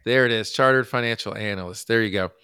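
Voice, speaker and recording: A man from the United States hosting a casual podcast interview, halting with many fillers and restarts. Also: on the low side, pushed and bright, clear, resonant tone, dry recording, studio quality. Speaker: podcast host.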